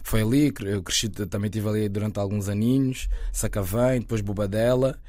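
A man in his twenties, raised near Lisbon, chatting as an interview guest, relaxed and rambling with fillers and restarts. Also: on the low side, a little fast, no echo. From Lisbon area, Portugal. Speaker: guest, actor and content creator. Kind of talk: casual interview talk.

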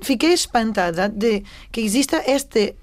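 A woman with a fairly high voice speaks as a participant in a panel debate. She explains her points earnestly and without a script, stressing them with strings of examples. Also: animated, a little slow.

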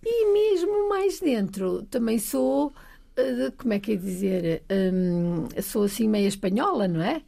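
A middle-aged woman with a European Portuguese accent, a guest in a relaxed radio interview, telling her story with warmth and frequent laughter. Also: on the high side, a little slow, gravelly. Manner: warm, laughing, lively storytelling.

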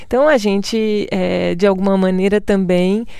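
A woman's voice: medium pitch, normal speed, creaky, clear, resonant tone, no echo, clean studio sound. A middle-aged woman from Brazil with a Brazilian Portuguese accent, a guest speaking reflectively in a conversational interview, laughing often. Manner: reflective, laughs often, quotes others in lively voices.

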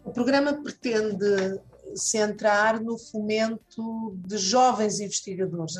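A woman foundation director, answering interview questions deliberately, with frequent hesitations. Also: mid-range voice.

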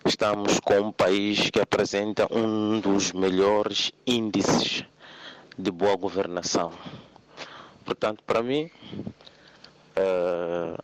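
A man from Mozambique calling in to radio, speaking in a slow, earnest, deliberate way. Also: on the low side, fairly even intonation, low-quality recording. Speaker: radio caller.

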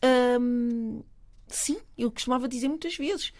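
A middle-aged woman with a European Portuguese accent, chatting as a guest, animated, laughing often and repeating words while she searches for them. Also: on the high side, takes it slowly. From Portugal and Cape Verde. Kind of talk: casual conversation.

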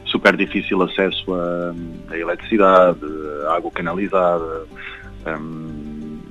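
A man's voice: low-pitched, level tone, measured pace, fairly bright tone, dull audio, faint music behind. A young man with a European Portuguese accent talks casually and reflectively as an interview guest, often repeating words as he searches for phrasing. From Algarve, Portugal. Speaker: traveller and guide interviewed.

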